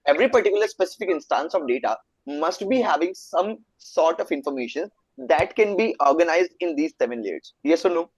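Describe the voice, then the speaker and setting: A man's voice: fairly high pitch, animated, brisk, hardly any pauses, husky, dry recording, poor audio. A young man with an Indian accent, Hindi his first language, lecturing a live online networking class and checking in with students often. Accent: Indian English. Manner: explanatory, asks students frequent check questions. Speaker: networking instructor.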